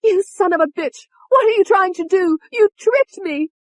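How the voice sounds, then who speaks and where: Audiobook villain: a high-pitched, sneering young woman, volatile and furious, swooping wildly. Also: big pitch swings, moderate pace, dry recording. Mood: screaming rage. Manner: sneering, taunting, explosive. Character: furious, taunting young British woman, a murderous alter personality.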